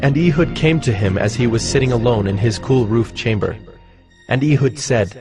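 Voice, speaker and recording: A man narrating a dramatized Bible reading in a measured, solemn storytelling manner. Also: mid-range voice, a little mellow. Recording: music behind the narration.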